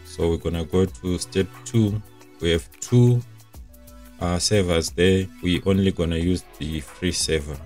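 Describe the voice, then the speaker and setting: A man's voice: sits low, darkish tone. A young man presenting a casual step-by-step tech tutorial in an informal, explanatory way.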